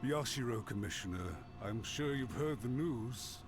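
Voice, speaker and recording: A man's voice: mid-range voice, expressive, a little slow, over music, close to an everyday voice. A man playing an anxious, contrite official in an anime game, speaking formally and deferentially, as if pleading. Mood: beseeching. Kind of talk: scripted anime game voice acting.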